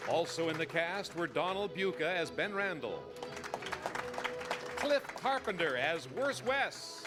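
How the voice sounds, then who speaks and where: A man's voice: fairly high pitch, animated, unhurried. A man reading scripted credits as an announcer in old-time radio style. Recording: live stage, loud music and crowd.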